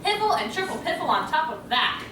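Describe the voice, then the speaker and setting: A woman's voice: sits high, very animated, fairly quick. A woman voicing a grumpy, scornful male badger in a storybook readers' theater. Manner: scornful, grumbling, exclaiming. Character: grumpy male badger, sleepy and sensible loner. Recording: echoey room, distant mic, noisy.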